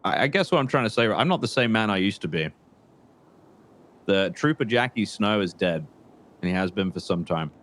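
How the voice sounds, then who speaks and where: A low-pitched male crime boss played by a man in a roleplay game, once a trooper and now bitter and sure of himself. He talks in a deliberate, halting way, repeating words, with condescending menace. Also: expressive, a bit bright, a little hiss or hum. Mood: menacing, boastful. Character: menacing, bitter former state trooper turned confident criminal. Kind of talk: improvised crime roleplay phone conversation.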